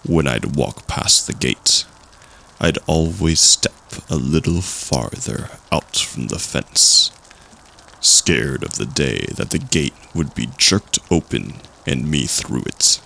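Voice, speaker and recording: A man playing a storyteller recalling a spooky childhood tale, with slow, suspenseful, dramatic phrasing in an audio roleplay. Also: pushed and bright, heavily acted, poor audio. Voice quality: deep, gravelly.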